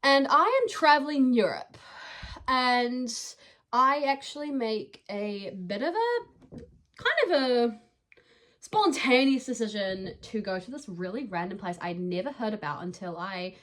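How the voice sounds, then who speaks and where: Young female vlogger, New Zealand accent, higher-pitched voice, relaxed informal storytelling straight to the viewer. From New Zealand, from Dunedin. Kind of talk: casual chatty storytelling to camera.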